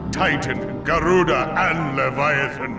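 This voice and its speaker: A man's voice: fairly high pitch, slow. A man voicing an ancient, sagely and stern god in a video game, making solemn, commanding proclamations in archaic speech. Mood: solemn, challenging. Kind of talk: scripted video game voice acting. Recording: echoing, distant voice under loud music.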